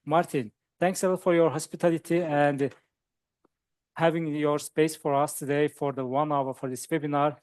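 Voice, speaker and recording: Male moderator, slightly high voice, dry close sound, halting and repetitive. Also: little pitch movement, darkish tone, no room sound. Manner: halting, repeats words, short acknowledgments. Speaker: webinar moderator. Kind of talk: panel moderation.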